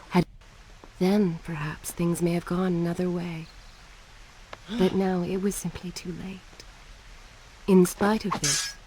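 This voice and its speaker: Low, mellow woman storyteller acted. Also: a little hiss or hum, natural voice, rough recording. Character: solemn, wistful female storyteller. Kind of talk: scripted game story narration.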